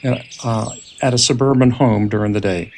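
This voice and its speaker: A man's voice: mid-range voice, steady tone, normal speed, a little mellow, faint music behind. A man narrating screenplay stage directions as part of a scripted dramatic reading.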